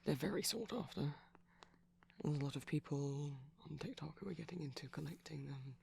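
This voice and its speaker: A man speaking softly and breathily in an ASMR boyfriend roleplay as a shy, gentle vampire, hesitant and often slipping into whispers. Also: a little high, moderate pace, gravelly, slight background noise. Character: shy, gentle pastel vampire boyfriend showing off plush toys.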